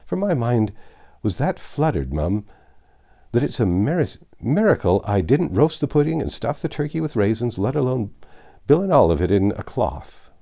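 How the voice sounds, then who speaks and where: A man's voice: mid-range voice, big pitch swings, normal speed, creaky, warm tone, pitched above the performer's own voice. A man voicing a flustered, plain-spoken woman servant in a storybook reading, with folksy dropped endings and an exclaiming manner. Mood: flustered, relieved.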